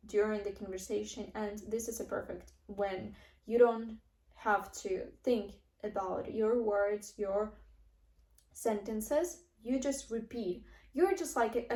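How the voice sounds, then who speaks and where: A young woman with a Russian accent explains an English-learning method in an unhurried, earnest way, pausing on fillers and often starting her sentences again. Her voice sits a little high and her pace is slow. The recording carries a noticeable echo from the room. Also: a little mellow. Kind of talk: casual teaching monologue to camera. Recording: echoey room.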